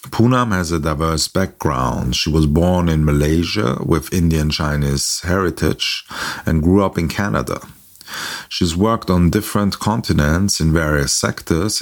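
A man hosting a podcast, introducing his guest in a measured, formal way. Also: a bass voice. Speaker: podcast host. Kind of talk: podcast interview.

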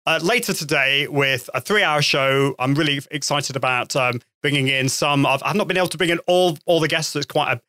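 A man hosting a live-stream podcast, chatty and lively, often restarting phrases and laughing. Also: on the high side, brisk, hardly any pauses, no room sound. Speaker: podcast host. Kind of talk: casual live-stream interview.

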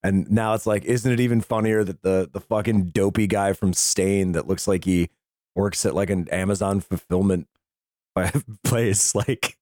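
A middle-aged man with a hoarse voice, casually bantering and swearing as a podcast host. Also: low-pitched, fairly even intonation, a little slow, darkish tone, no echo, clean studio sound.

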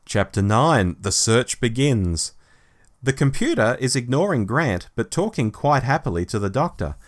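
Male podcast presenter for scripted story recaps. Expressive, wide-ranging delivery at a middling pitch. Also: moderate pace. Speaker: podcast host. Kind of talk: scripted plot summary narration.

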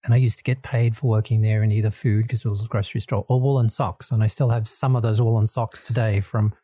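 A man in his fifties from Australia with an Australian accent, talking in a relaxed, unhurried way as a guest in an interview, with ums and restarts. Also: lowish voice, no room sound. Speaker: guest, small business lender. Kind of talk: casual interview talk.

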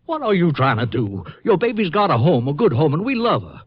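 A man's voice: fairly high pitch, big pitch swings, slightly rough, no echo. An actor playing a desperate, protective car dealer and father, tense and indignant, in 1950s radio drama style. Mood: desperate, pleading. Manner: tense, indignant, halting when evasive. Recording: dull vintage radio recording.

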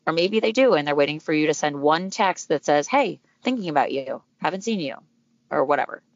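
A woman with a low voice talks as a podcast guest, upbeat and direct. Her voice comes through dry and a little dull, like a lo-fi remote line. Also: animated, a little fast, dry recording. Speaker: podcast guest, dating expert. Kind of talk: casual interview chat.

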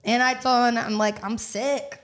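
A young woman singer telling her story candidly in a casual interview, animated and quick to laugh. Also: fairly high pitch. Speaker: singer-songwriter guest.

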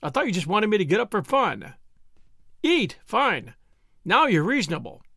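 Man putting on a high, swooping, teasing storybook pal. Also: huge pitch swings, creaky, bright, forceful tone, no echo, clean studio sound, full character voice. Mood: playful, teasing. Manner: mock-grandiose, needling, rapid-fire exclamations. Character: teasing, exuberant, bantering male friend in a novel. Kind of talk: audiobook character dialogue.

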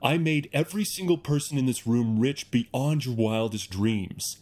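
A man's voice: medium pitch, normal speed, a little mellow, natural voice. Man playing an arrogant, sneering corporate heir. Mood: outraged. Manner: indignant, sneering, theatrical. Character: arrogant, scornful rich male heir and chief executive. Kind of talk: audio drama acting.

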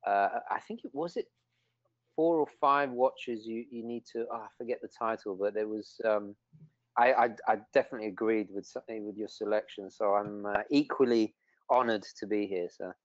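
A man from London whose first language was Italian, speaking with an English accent and a trace of Italian as a guest in a casual interview, halting with lots of restarts. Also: lowish voice, no room sound, muffled recording. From England. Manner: halting, many restarts and fillers.

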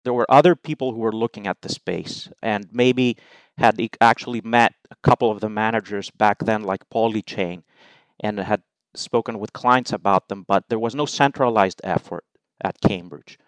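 A middle-aged man from Greece, a guest in an interview, explaining his views in measured, numbered points. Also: on the low side, clear, resonant tone, dry recording. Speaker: investment allocator, guest. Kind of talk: interview answers.

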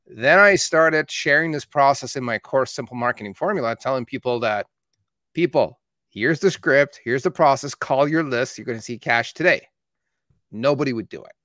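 A man in his thirties, a marketing agency owner from Canada who was born in Iran, telling his story in steady, matter-of-fact conversation. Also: mid-range voice, moderate pace, no room sound, clean studio sound. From born in Iran, raised in Canada. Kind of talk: casual conversation.

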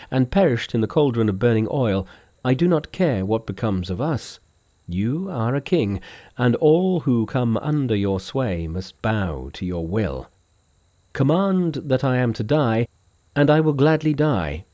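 A pious monk master from a storybook, performed by a male reader. He speaks with formal earnestness and an anxious solemnity, his mellow voice rising and falling expressively in a dry recording. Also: mid-range voice, dry recording.

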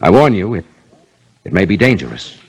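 An actor playing a shrewd, polite but commanding police inspector in a 1950s detective drama, with a dry, clipped, authoritative way of talking. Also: male voice, low voice, very animated, moderate pace, husky, heavily acted. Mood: brisk, probing.

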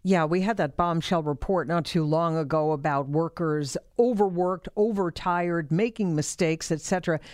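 A woman's voice: lowish voice, normal speed, few pauses, darkish tone, no room sound, studio quality. A woman hosting a radio news program, interviewing a guest in a broadcast style.

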